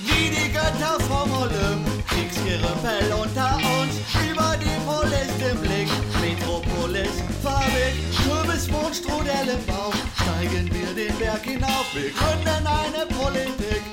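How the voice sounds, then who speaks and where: An actress playing a lyrical voice of an outcast collective, half-singing a poetic recitation in an audio drama. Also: female voice, high-pitched, lively intonation, a little slow, hardly any pauses. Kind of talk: half-sung poetic recitation over music. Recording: roomy echo under loud reggae-ska music.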